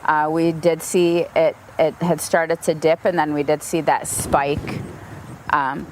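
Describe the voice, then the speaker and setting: Frank, low-voiced woman from the U.S. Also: slow pace, slight background noise. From United States. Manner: frank, animated storyteller. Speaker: interviewee. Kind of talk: interview, personal account.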